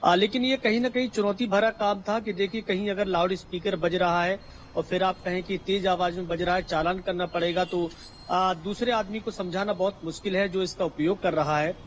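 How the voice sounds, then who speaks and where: A man's voice: sits high, fairly even intonation, moderate pace, slight background noise. A male news correspondent giving an unscripted live report with frequent hesitations, over a dull lo-fi link.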